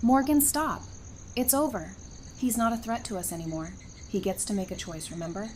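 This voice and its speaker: A woman's voice: mid-range voice, moderate pace, background noise, lightly acted. A woman playing a brave, compassionate female fighter in an audio drama. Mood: pleading.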